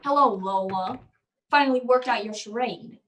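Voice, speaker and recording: A woman's voice: on the high side, normal speed, a bit bright, slight background noise, natural voice. A woman playing a tough, terse hardboiled private eye in an old-time radio detective play. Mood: cool, probing. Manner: terse, no-nonsense.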